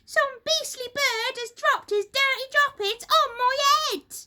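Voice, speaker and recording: Shrill, nasty storybook wife. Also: female voice, measured pace, background noise. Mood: disgusted. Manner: screaming, scornful, dramatic. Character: nasty, shrill, panicky married woman in a children's story.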